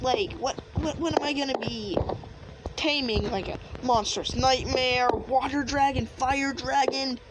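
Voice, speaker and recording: A woman's voice: sits high, fairly even intonation, a little slow, very noisy. A woman playing an overwhelmed, hesitant young son in an improvised game roleplay, with exclamations of disbelief. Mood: incredulous.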